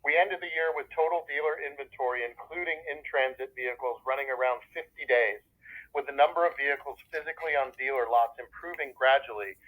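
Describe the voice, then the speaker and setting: A man's voice: medium pitch, narrow, tinny audio. A man speaking as a corporate finance executive, reading prepared earnings remarks in a formal, businesslike way over a telephone conference line. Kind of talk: scripted earnings call presentation. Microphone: telephone conference call.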